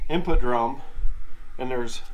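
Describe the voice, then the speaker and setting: Guy mechanic doing a shop how-to, plain talk step by step, average pitch, some noise in the garage. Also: slow. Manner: plain-spoken, step-by-step instruction. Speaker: mechanic demonstrating a repair on video. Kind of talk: hands-on tutorial, unscripted explanation. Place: indoor garage workshop at a workbench. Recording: clearly noisy workshop camera audio. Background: clear workshop background noise.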